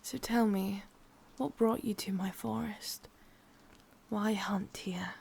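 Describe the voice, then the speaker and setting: A woman with an English accent voicing an ancient, lonely, sadistic witch in a breathy half-whispered ASMR roleplay, speaking slowly with drawn-out pauses. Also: mid-range voice, fairly even intonation, a little mellow, exaggerated voice. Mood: probing. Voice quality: breathy, often slipping into whisper. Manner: slow, halting, drawn-out delivery with pauses.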